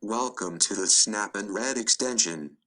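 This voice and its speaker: A man's voice: lowish voice, a little slow, sharp tone. A robotic synthetic man's voice reading text aloud. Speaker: computer read-aloud voice.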